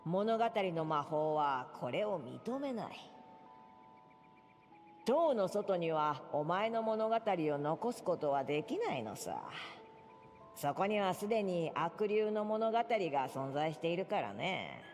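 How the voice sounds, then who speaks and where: An ancient prophet speaks in solemn, archaic pronouncements with sweeping pitch movement. The voice rings in a long hall reverb with music behind it, cryptic and grand like a storybook game oracle. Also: sing-song, normal speed. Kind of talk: theatrical storybook game dialogue.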